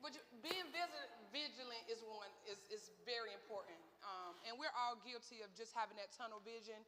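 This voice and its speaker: A woman's voice: a little high, fairly quick, bright, forceful tone, slight background noise. A woman, a sheriff's deputy, giving a casual safety training talk with fillers. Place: church hall. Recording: long hall reverb.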